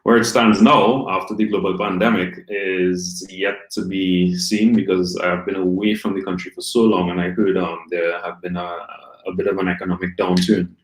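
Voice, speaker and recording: A man from Trinidad and Tobago in his thirties, a guest telling his story in a relaxed, rambling way with many ums. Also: deep voice, moderate pace, few pauses. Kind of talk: casual live-stream interview. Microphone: video call. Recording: echoey room on a video call.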